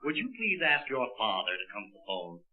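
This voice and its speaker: A man's voice: fairly low pitch, very animated, a little fast. An actor playing a stern, formal department store man, dry and exasperated, in a 1940s radio sitcom. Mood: insistent. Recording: muffled old radio recording, audience behind.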